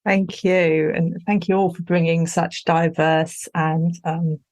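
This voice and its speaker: A woman hosting a podcast panel, welcoming guests in a measured, courteous way. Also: lowish voice, little pitch movement, darkish tone. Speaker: podcast host.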